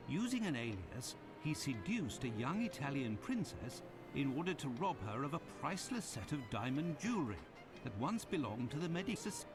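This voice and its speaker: An actor playing a fussy, talkative doctor companion in a video game. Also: male voice, fairly high pitch. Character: chatty loyal male doctor companion. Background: game music.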